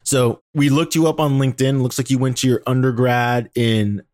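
An upbeat man hosts an interview podcast in a relaxed, chatty way, laughing easily between questions. His voice sits mid-range, recorded dry and close to the mic. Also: mid-range voice, normal speed, no room sound. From Washington D.C. area. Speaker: podcast host. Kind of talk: casual interview chat.

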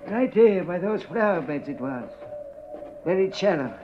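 An old cemetery caretaker, cheerful and a little sly, with folksy deference. His voice sits a bit high. It is 1950s radio drama, muffled and faintly noisy. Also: expressive, unhurried. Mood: earnest, recounting. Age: older.

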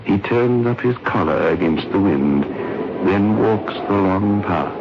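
A sinister horror host, speaks extremely low and slowly. He is teasing, theatrical and menacing, in the manner of old-time radio, with loud music behind him. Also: lively intonation, highs cut off, exaggerated voice. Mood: ominous narration. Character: sinister, teasing male horror host, welcoming listeners with relish. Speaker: horror show host and narrator.

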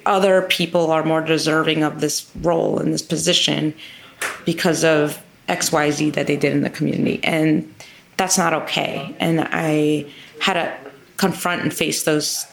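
A woman's voice: deep voice, level tone, moderate pace, gravelly, a little hiss or hum. A woman legislator answering interview questions in an earnest, emphatic way, stacking up lists of repeated phrases. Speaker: state legislator as guest.

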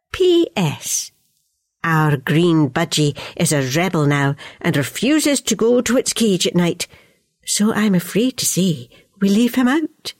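A cheerful, homely mother writing news to her son overseas, read aloud by a woman. Her voice sits low and she chats along warmly through family news. The sound is close and dry. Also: dry recording. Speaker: letter reader. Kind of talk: reading a wartime letter aloud.

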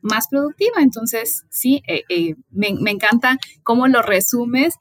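A woman's voice: fairly high pitch, expressive, a little slow, no room sound, studio quality. A woman, hosting an interview, speaking with hesitant restarts. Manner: warm, hesitant, many restarts. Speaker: podcast host.

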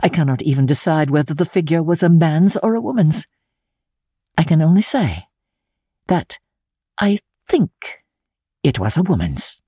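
A woman narrates a classic novel in a low, husky voice. Her delivery is measured and theatrical, with very expressive rises and falls. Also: no echo, clean studio sound. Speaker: audiobook narrator. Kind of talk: dramatic literary narration, first-person diary.

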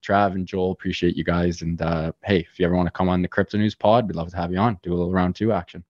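Fast-talking young man, low voice. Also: flat delivery, lo-fi sound. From Canada. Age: 20s. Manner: fast-talking, many fillers and restarts. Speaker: podcast guest. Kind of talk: casual chat.

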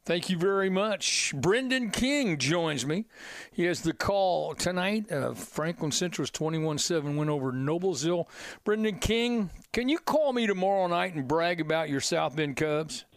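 A man hosting a call-in radio sports talk show, upbeat and energetic. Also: on the high side, measured pace, no echo. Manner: energetic, upbeat, rising on welcomes.

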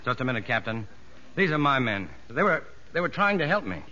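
An actor playing a level-headed, earnest prison doctor who narrates a 1950s radio drama in measured, educated speech. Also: male voice, mid-range voice, big pitch swings, bright, forceful tone. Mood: firm, earnest. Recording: dull old radio recording, faint music.

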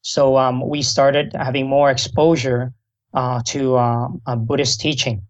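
Middle-aged Taiwan-born man, halting speech. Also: mid-range voice, level tone, highs cut off. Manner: slow, halting speech with many fillers. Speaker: guest, Buddhist monk. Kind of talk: interview answers.

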